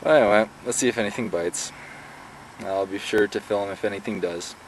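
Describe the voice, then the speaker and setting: A man's voice: lowish voice, a little fast, low-quality recording. Young guy rambling on while fishing outside, camera at arm's length, faint outdoor hum. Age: young adult. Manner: chatty, rambling asides. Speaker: vlogger. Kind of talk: casual fishing vlog. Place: outdoors by a pond. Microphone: camera held at arm's length. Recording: faint outdoor background noise.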